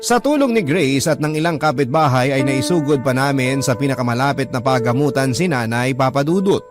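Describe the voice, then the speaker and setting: A male actor as a regretful Filipino son telling his own story like a letter to a radio host. His mid-pitched voice is sorrowful and earnest, with clear music behind it. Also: fairly quick, close to an everyday voice. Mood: remorseful. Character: remorseful grown son confessing his story. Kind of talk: first-person letter narration, Filipino radio drama. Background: clear background music.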